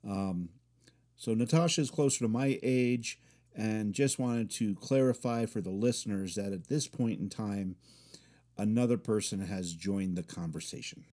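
A man's voice: on the low side, measured pace, dark tone. A man, the show's host, stepping in with a voice-over aside that explains things to the listeners. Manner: explanatory, direct address.

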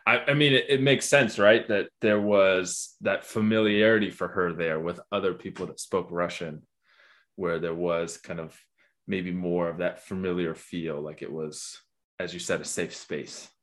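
A man's voice: low voice, steady tone, a little slow, fairly bright tone. A man hosting a podcast, asking questions and musing aloud in a chatty, loose way with fillers. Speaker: podcast host. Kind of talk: casual interview.

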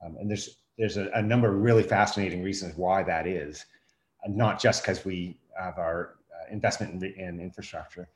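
A man's voice: low voice, normal speed. A man giving his opinions in a casual discussion, conversational and emphatic. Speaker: podcast host, teacher. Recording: echoey room.

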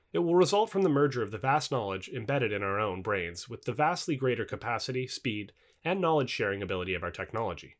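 A man reading scripted nonfiction as an audiobook narrator, with formal, measured enunciation. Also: medium pitch.

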